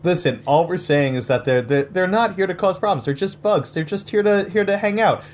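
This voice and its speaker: A man's voice: high voice, brisk, slight background noise. A man improvising casual comedy banter as a podcast performer.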